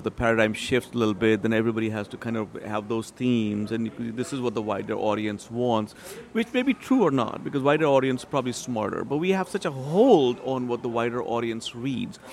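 A man's voice: mid-range voice, darkish tone, slight background noise. A middle-aged man from Pakistan, a writer and translator giving discursive interview answers with many fillers. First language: Urdu or Punjabi.

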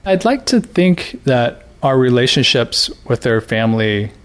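A man's voice: medium pitch, measured pace. A man in his thirties from the United States, an author talking casually in an interview with fillers and restarts. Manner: relaxed, chatty, many fillers and restarts. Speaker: interviewee, graphic novelist.

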